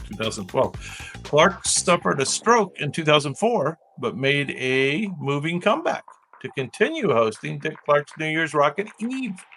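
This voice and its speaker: Lively, bright-voiced man hosting trivia. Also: on the high side, unhurried, faint music behind. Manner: chatty, rambling asides between read-out facts. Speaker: live trivia show host. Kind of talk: casual live-stream trivia hosting, reading clues and chatting.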